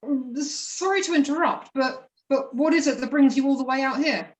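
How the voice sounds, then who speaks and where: Echoey, high-voiced humble farmer, played by a woman. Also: normal speed, close to an everyday voice. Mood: polite, hospitable. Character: middle-aged male peasant farmer, humble, puzzled host. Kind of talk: amateur dramatic play reading.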